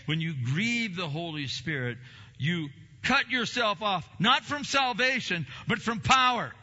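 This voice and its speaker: A pastor preaching a sermon in a church, a man with a big voice who swings from low, slow stresses to loud, emphatic bursts. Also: lively intonation, big-room sound, dull audio.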